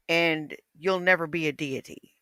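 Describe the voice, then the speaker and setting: A woman's voice: deep voice, bright, forceful tone, no echo, studio quality. A woman in her fifties, blunt, profane and mocking in casual livestream chat. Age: 50s.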